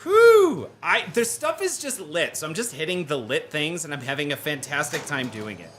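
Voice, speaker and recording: A man streaming a pinball game live, excitable, laughing easily and stammering as he reacts to chat. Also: a little high, moderate pace, soft background music.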